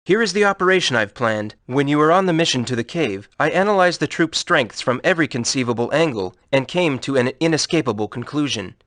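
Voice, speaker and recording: An actor playing a confident, authoritative military commander in a video game, speaking in a formal, measured, decisive way. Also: male voice, medium pitch, expressive, fairly bright tone, no room sound, clean studio sound, close to an everyday voice. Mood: commanding.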